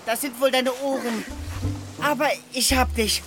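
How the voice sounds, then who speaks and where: A woman voicing young Moomin in a children's radio play, excited and eager. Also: sits high, measured pace. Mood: urgent. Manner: excited, breathless. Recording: music behind.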